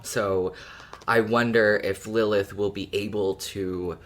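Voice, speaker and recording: A woman co-hosting a podcast, chatting casually and informally with plenty of slang about fiction. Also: rumbling low. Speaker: podcast co-host.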